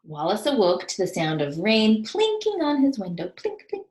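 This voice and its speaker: A woman reading a children's storybook aloud as a teacher, expressive and deliberate, explaining and putting questions to her listeners. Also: fairly high pitch. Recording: roomy, echoing sound.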